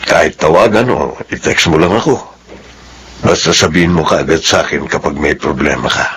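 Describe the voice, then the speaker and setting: A man's voice: a bass voice, normal speed, creaky, fairly bright tone, slightly rough, exaggerated voice. An actor playing a loving, remorseful middle-aged father in a drama, speaking earnestly and solemnly. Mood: gently concerned. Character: remorseful, loving father of two grown children. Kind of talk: Tagalog drama acting.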